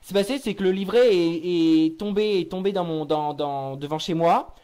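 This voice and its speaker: Young guy on a prank call, high voice, acting all serious, kinda hesitant but pushy. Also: normal speed, a little mellow, clear, resonant tone. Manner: feigned seriousness, hesitant and insistent. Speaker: prank caller. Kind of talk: improvised prank phone call.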